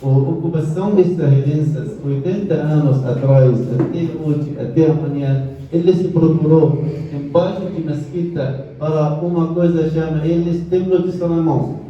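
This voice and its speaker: A man with a heavy Arabic accent lectures in a halting, broken way, often restarting his sentences. His slightly high voice comes from far off in a very echoey room, and the recording sounds dull and muffled. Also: steady tone, slight background noise. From Jordan, Palestinian family. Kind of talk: classroom lecture with audience questions. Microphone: distant microphone.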